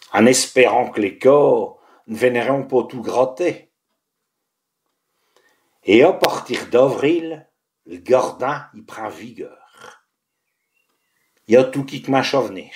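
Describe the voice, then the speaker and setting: A man's voice: medium pitch, darkish tone. A man with a Picard accent from the Tournai area, reciting a monologue in dialect. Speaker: monologue performer.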